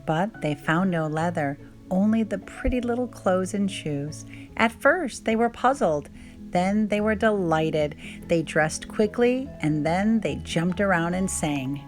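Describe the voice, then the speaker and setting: A woman's voice: lowish voice, lively intonation, unhurried, soft background music. A woman reading a storybook aloud for children as a scripted narrator. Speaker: storybook reader.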